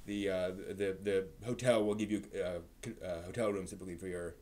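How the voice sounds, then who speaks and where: A man hosting a live stream with casual, rambling commentary, often halting and repeating his words. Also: deep voice, steady tone, mellow.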